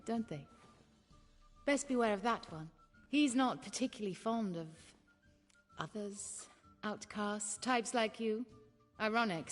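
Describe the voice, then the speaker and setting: An actress playing a poised, worldly mystery woman in a video game, speaking in a measured, wry and cultured way. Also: mid-range voice, long reverb tail. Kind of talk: video game dialogue acting. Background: background music.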